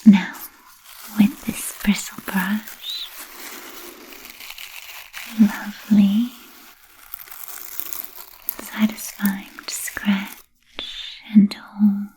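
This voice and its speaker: A woman playing an ancient, refined, playfully macabre vampire in a whispered ASMR roleplay, speaking softly and formally with trailing pauses. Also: medium pitch, little pitch movement. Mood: teasing, musing. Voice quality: soft breathy whisper. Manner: slow, deliberate, archly formal with trailing pauses.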